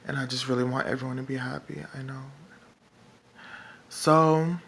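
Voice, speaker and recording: A man with a feminine-sounding voice, talking in a rambling vlog monologue that is halting and full of fillers and restarts. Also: medium pitch, fairly even intonation, creaky, fairly bright tone, slightly rough. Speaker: political vlogger. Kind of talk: casual monologue to camera.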